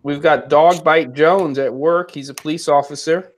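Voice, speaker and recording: A man in his forties hosting a relaxed, joking chat over a video call from a room at home. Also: on the high side, moderate pace. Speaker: host. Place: home room with curtains and lamp.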